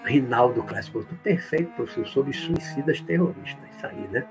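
A man from Olinda, explaining spiritual ideas in a live talk and answering viewer comments, often checking in with a quick "right?". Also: medium pitch, over music. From Brazil, born in Olinda, Pernambuco. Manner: explanatory, tag questions, reads comments aloud then answers.

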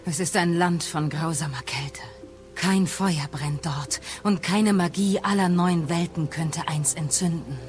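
A woman's voice: fairly low pitch, faint music behind, close to an everyday voice. A woman playing a stern, solemn goddess and healing witch in a video game audio drama, grave and commanding. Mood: grave, instructive. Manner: commanding, grave, deliberate phrasing.

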